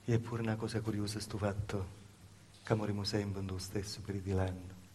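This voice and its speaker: A man's voice: fairly low pitch, little pitch movement, a little fast, darkish tone, slight background noise, close to an everyday voice. An actor playing a simple, devout, grieving Calabrian peasant mother, telling a rambling, repetitive story in a theatrical dialect monologue. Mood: wondering, insistent.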